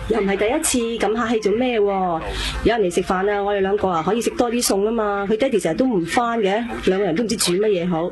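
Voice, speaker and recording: A woman's voice: medium pitch, quick pace, hardly any pauses, lightly acted. An actress playing a bustling, hospitable middle-aged mother, chatty and homely, in Hong Kong Cantonese radio drama. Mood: welcoming, bustling. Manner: chatty, homely, fussing over guests. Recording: music behind the dialogue.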